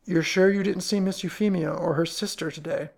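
A woman's voice: on the low side, fairly even intonation, brisk, creaky, a little mellow. A woman voicing an impatient male detective who questions people sharply.

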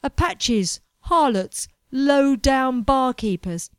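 A woman voicing a pompous, melodramatic young man chasing gold, complaining loudly about everything around him. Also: medium pitch, moderate pace, no room sound, studio quality, close to an everyday voice. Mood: indignant. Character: anxious, pompous young male fortune hunter.

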